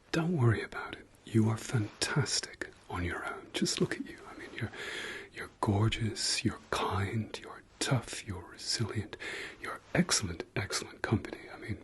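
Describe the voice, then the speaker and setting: A man whispering softly through an ASMR eye-exam roleplay, repeating gentle praise and soothing words. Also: on the low side. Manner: slow soft whisper, repetitive soothing praise. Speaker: ASMR creator.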